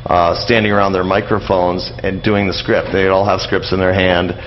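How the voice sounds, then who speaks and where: Low-voiced rambling man, slightly muffled. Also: little pitch movement. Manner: halting, rambling explanations. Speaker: interview guest, old-time radio enthusiast. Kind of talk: casual conversational explanation. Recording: muffled audio with faint background noise.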